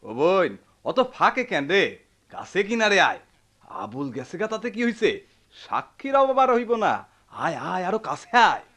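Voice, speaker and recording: A sly village brother in a radio drama, sarcastic and protective. His fairly high voice swings widely and theatrically, taunting in a rural Bangladeshi accent. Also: big pitch swings, normal speed, a bit bright, full character voice. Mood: goading, coaxing. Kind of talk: Bengali radio play acting.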